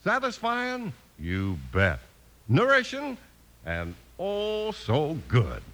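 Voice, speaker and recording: Animated American male radio announcer. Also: high-pitched, very slow. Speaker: announcer and narrator. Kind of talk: 1950s radio announcing, scripted narration and sponsor pitch.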